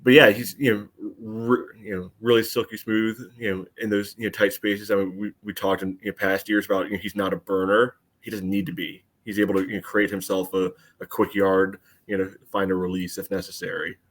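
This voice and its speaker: A man's voice: lowish voice, little pitch movement, fairly quick, few pauses, fairly bright tone. A middle-aged American man chatting about soccer as a podcast panelist, in a rambling, conversational way. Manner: rambling, leans on "you know". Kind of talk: casual soccer analysis chat.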